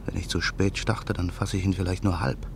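An actor playing a vengeful former musician, narrating his calm, measured thoughts in a radio play. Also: low-pitched, creaky, noisy background. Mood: cold. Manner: calm, measured inner monologue. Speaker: narrator and lead character.

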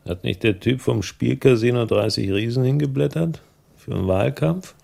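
An actor playing a hard-boiled, wry private detective with a laconic manner in a radio crime drama. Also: male voice, mid-range voice, normal speed, creaky, dark tone, no room sound. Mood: dry, sceptical. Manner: dry, laconic. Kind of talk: German radio crime drama with first-person narration.

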